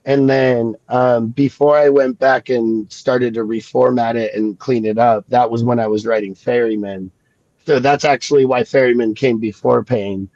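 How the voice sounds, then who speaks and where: A man talking slowly and reflectively in a casual podcast chat, with many fillers and restarts, sniffling from a cold. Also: mid-range voice, steady tone, darkish tone, no room sound, dull audio. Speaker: guest comic creator.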